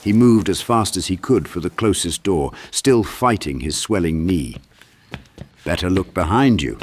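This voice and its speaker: A man's voice: low-pitched, animated, normal speed, a little mellow, dry recording. A man reading dramatic scripted horror narration as an audiobook narrator.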